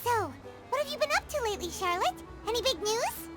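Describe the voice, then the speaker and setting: A woman voicing a tiny, chirpy, childlike floating companion in an animated game, with a squeaky voice. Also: a little fast, over music. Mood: curious, chatty. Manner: refers to self in third person, exclaiming.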